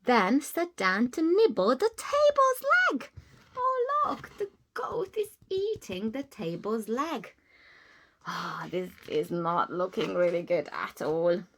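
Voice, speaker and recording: A woman's voice: mid-range voice, sing-song, slow pace, a bit bright. A woman reading a children's storybook aloud as a teacher, expressively, with simple explaining asides for young listeners.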